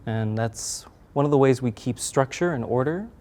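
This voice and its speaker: Very mellow-voiced young man. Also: mid-range voice, animated, moderate pace. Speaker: comic illustrator and co-creator being interviewed. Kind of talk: casual interview chat.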